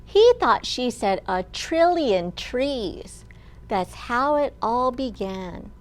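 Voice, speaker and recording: Slow, high-pitched woman children's storytime reader. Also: animated. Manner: simple, deliberate phrasing addressed to young children. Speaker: children's librarian and storytime host. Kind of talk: children's storytime with singing and read-aloud.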